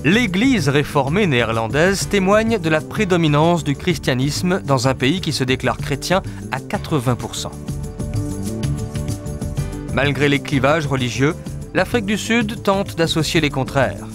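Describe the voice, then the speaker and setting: A man narrates a travel documentary from a studio voice-over booth. His voice sits somewhat high for a man and moves through a lively, wide melodic range. Music plays clearly underneath him. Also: measured pace.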